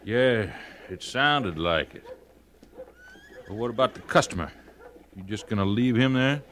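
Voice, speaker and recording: Sharp-voiced, fretful saloon barkeep. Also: male voice, on the low side, lively intonation, unhurried. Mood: aggrieved. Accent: American. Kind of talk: 1950s western radio drama acting. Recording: slightly noisy vintage radio recording.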